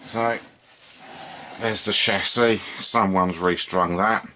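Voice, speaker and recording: A man's voice: lowish voice, fairly even intonation, slow pace, bright, forceful tone, slight background noise. A man giving a casual, unscripted hands-on demonstration, understated and hesitant as he thinks aloud. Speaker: host of a hobby radio repair video. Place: indoors at a workbench.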